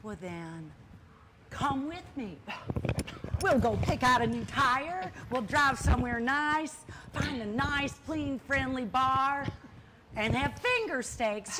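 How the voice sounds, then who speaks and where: A woman's voice: a little high, noisy background. An actress playing a wistful, stubborn older woman in a stage play, pleading and arguing earnestly. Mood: coaxing. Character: wistful, stubborn older sister yearning for late-life adventure.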